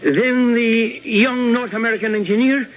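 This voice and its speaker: Very high, fussy, fawning male aide. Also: steady tone, normal speed. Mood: anxious, fawning. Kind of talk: old-time radio drama acting. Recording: narrow, noisy old radio recording.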